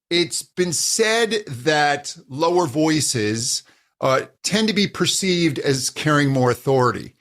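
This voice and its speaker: A man who coaches voice users, answering interview questions and explaining at an uneven, animated pace. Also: on the high side. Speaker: voice coach, guest.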